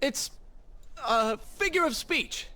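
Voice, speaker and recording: An actor playing a proud, earnest young warrior prince with a dry wit in a dramatic video game. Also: male voice, very high-pitched, lively intonation, slow, sharp tone, exaggerated voice. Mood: wry, defensive.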